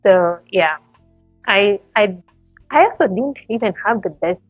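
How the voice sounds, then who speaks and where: A woman's voice: medium pitch, animated, unhurried, no echo, soft background music. A Tanzanian-accented woman, a medical doctor, answering interview questions thoughtfully, often repeating words as she gathers her thoughts. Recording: thin phone-like call audio.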